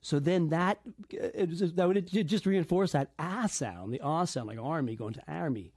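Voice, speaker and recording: A man with a part-Irish accent speaks as a dialect coach in an interview, halting and restarting as he explains. His voice sits a little high and mellow. The sound is dry and close. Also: expressive, breathy edge, dry recording.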